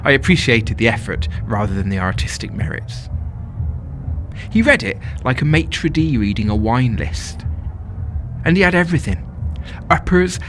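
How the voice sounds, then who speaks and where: A man's voice: medium pitch, big pitch swings, moderate pace, long pauses, over music, close to an everyday voice. An actor playing a smitten, self-doubting young festival-goer, telling his story as a confiding, wry dramatic monologue. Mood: wry, amused.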